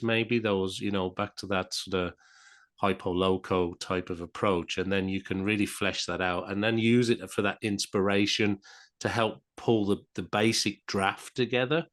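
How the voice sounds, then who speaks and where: A man with a deep voice hosts an interview show. He sums up what his guest has said and asks rambling questions, often ending them with tags like "isn't it?". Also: fairly bright tone. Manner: chatty, leading questions with tag endings. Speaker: podcast host. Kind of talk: interview hosting.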